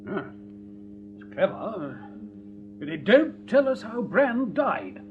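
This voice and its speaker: A blustering English army captain in a radio play. His pitch is high and swoops wildly as he grumbles. The dull recording has a noticeable hum. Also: huge pitch swings, slow pace, heavily acted. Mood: grudging, flustered. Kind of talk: British radio drama acting.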